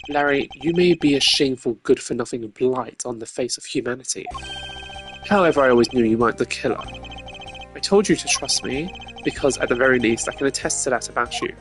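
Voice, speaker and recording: A man's voice: mid-range voice, lightly acted. Man playing a composed, haughty prosecutor. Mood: stern. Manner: formal, measured, deliberate. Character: composed, haughty male prosecutor, logical and exasperated. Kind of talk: video game character dub. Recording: music under the voice.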